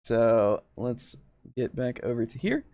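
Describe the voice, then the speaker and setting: A man's voice: mid-range voice, sing-song, takes it slowly, warm tone, dry recording. A man hosting a casual livestream chat in an informal, rambling way with some swearing. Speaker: show host.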